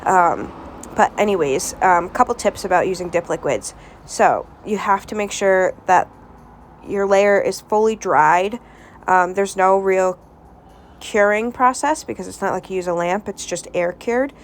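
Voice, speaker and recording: A woman's voice: mid-range voice, unhurried. A woman vlogger with a stuffed-up nose from a cold, giving a casual nail tutorial at home with lots of ums and restarts. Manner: hesitant, many ums and restarts.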